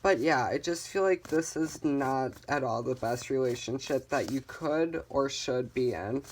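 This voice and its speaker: Calm, reassuring tarot reader. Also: mid-range voice, a little slow, a little hiss or hum, low-quality recording. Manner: calm, reassuring, gently guiding listeners; chatty, with frequent "um" fillers. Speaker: tarot reader, channel host. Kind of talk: casual tarot card reading for viewers.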